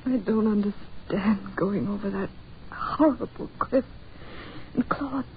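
An actress playing an expressive, easily frightened woman traveller in a 1940s radio horror drama, with dramatic, emotional delivery. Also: medium pitch, slow pace, close to an everyday voice. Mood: worried. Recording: dull, noisy 1940s radio recording.

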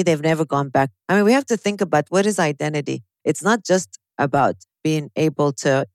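A woman's voice: low-pitched, no room sound. A woman with a Chicago accent hosting a podcast as a psychologist, explaining earnestly in long run-on thoughts. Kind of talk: casual podcast discussion.

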